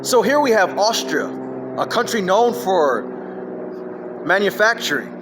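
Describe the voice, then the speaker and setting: A man with a high-pitched voice delivers a rambling solo rant into his phone. He leaps between topics with emphatic, scattered energy. A faint hum of noise sits behind him. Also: sing-song, measured pace. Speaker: vlogger.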